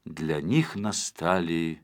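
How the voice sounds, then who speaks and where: A man reading a children's story as a scripted audiobook narrator, in an expressive, measured storytelling manner. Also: deep voice, dry recording, studio quality.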